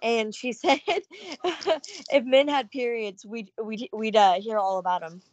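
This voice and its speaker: A woman co-hosting a podcast, casually chatting about a film and briskly moving the segments along. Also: a little high, darkish tone, no room sound. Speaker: podcast co-host.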